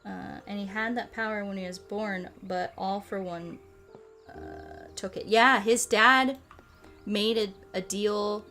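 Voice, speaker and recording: A woman's voice: mid-range voice, steady tone, pushed and bright. Woman chatting casually over music. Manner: rambling, halting explanations with frequent self-corrections. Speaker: streamer hosting a puzzle game stream. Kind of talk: casual chat while playing a game. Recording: music behind the voice.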